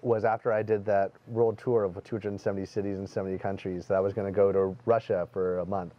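A middle-aged male host talks quickly in a lowish voice, eagerly trading stories and questions. The recording is somewhat dull and lo-fi, made outdoors on a garden terrace. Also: flat delivery. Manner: talkative, tells anecdotes, enthusiastic. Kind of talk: casual interview chat.

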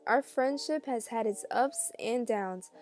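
A woman's voice: a little high, measured pace, a little mellow. A young woman reading scripted story narration, with music behind her voice. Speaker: narrator and voice actor.

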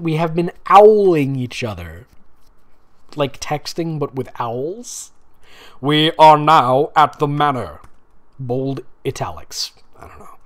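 A man's voice: medium pitch, a little mellow. A man reading amateur fan fiction aloud sarcastically, pointing out typos and breaking off for casual commentary.

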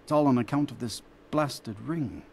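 A man's voice: medium pitch, normal speed, darkish tone, a little hiss or hum, natural voice. An actor playing a grave, regretful imprisoned man cursed as a werewolf in a video game. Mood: bitter remorse. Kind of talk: scripted video game dialogue.

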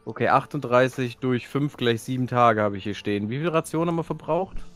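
Mann in lockerer Spielrunde, Musik dahinter. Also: male voice, medium pitch, fairly quick, fairly bright tone. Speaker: tabletop role-playing player. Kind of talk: casual game chat. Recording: online voice call, background music.

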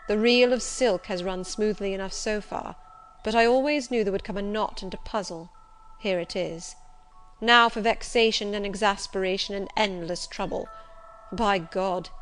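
A woman voicing a passionate, imperious man in an audiobook, forceful and pleading with his beloved. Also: medium pitch, steady tone, normal speed, faint music behind, lightly acted. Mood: exasperated, threatening. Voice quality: hoarse.

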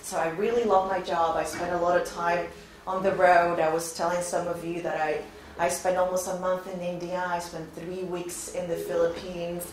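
A woman's voice: fairly low pitch, level tone, moderate pace. A woman in her thirties, born in Venezuela and raised in Spain, giving an upbeat, chatty recruiting presentation to a class of students and often asking them questions, recorded from a far camera. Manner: upbeat, chatty, asks the audience frequent questions. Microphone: far camera, no visible mic. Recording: very echoey classroom, faint noise.

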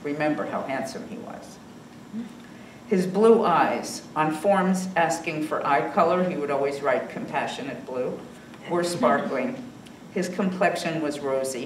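An older woman reading her own personal essay aloud at a podium, in a measured, reflective way. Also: deep voice, a little hiss or hum. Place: small indoor salon with bookshelves and fireplace. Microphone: podium microphone on a stand. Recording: roomy sound from a distance.

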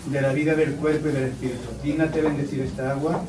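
An older man with an Andalusian Spanish accent, a priest reading a blessing prayer in a steady, solemn way in a small crowded shop. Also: medium pitch, fast. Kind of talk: blessing prayer read aloud. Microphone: far camera. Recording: echoey shop from far off, noisy.

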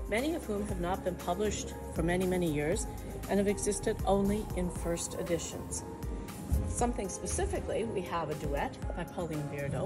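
A woman from a music publisher, giving a measured, informative product pitch at a convention booth. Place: convention exhibit hall.

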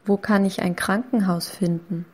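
A woman reading short phrases slowly and clearly, saying each one twice for learners. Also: mid-range voice, steady tone. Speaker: language lesson voice. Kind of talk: slow repeated phrase reading.

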